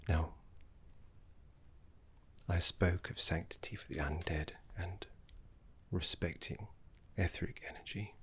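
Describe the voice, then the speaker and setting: A man playing a gentle, wistful elderly necromancy professor in a breathy, husky half-whisper, halting and genteel, as an ASMR roleplay. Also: low-pitched, fairly even intonation, heavily acted. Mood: gentle, soothing. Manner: halting, genteel, formal wording.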